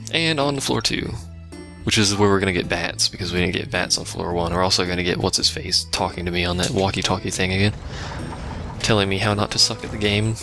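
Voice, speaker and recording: A man's voice: deep voice, creaky. A man giving casual, rambling gameplay commentary with dryly sarcastic asides, as a let's play host. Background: game music and sounds.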